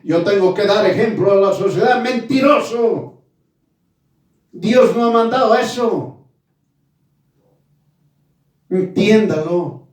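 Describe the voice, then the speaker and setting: A man's voice: very high voice, a little mellow. A man preaching a fervent evangelical sermon, stern and admonishing, in short, emphatic phrases with repeated exhortations. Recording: very echoey room, distant from mic.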